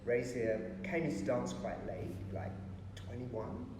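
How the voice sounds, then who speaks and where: A man in his fifties talks about producing dance in an easy, animated way, often saying "you know". His voice rings out in a very echoey, reverberant hall. There is a faint hiss behind him. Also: mid-range voice, takes it slowly. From Pakistan, raised in North London. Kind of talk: casual interview.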